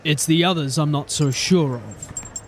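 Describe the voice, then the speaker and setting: A man's voice: a little high, measured pace, a little hiss or hum. A man playing a blunt, weary watch sergeant. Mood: steady, grim. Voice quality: plain-spoken, grim and steady. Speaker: voice actor. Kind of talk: audio drama acting.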